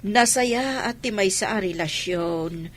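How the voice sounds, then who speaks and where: A woman with an Ilocano accent playing a gentle, weary, supportive mother in a radio drama. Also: mid-range voice, slow pace. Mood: tender.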